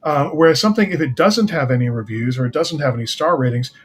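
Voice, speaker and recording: A man's voice: fairly high pitch, lively intonation, fast talker, a little hiss or hum. A man chatting casually as a guest writer and producer, talkative and given to explaining things. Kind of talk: casual chat.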